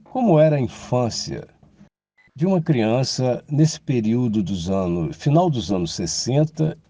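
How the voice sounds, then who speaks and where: A man hosting an interview, giving a scripted introduction and questions in a measured, formal presenting manner. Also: medium pitch, animated, mellow, dull audio. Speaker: interviewer and host.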